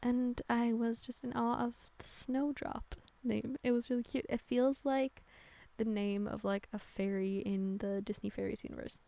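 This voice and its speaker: A woman's voice: a little high, fairly even intonation, moderate pace, creaky, dark tone, dry recording. Chatty young woman. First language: Norwegian. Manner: rambling, chatty, self-correcting with many fillers. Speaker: game builder narrating a speedbuild. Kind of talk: casual voice-over chat.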